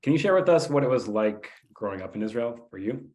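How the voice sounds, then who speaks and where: A man hosts an interview and asks his questions quickly and directly. His voice sits at a middle pitch and moves along at a fast clip. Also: animated, darkish tone.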